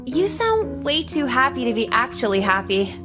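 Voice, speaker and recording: A high-pitched, cutting teenage girl from a visual novel game. She is deadpan and sarcastic, full of contempt for those around her. Music plays clearly behind her. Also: normal speed. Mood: bored, dismissive. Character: sardonic teenage girl, contemptuous new kid at school.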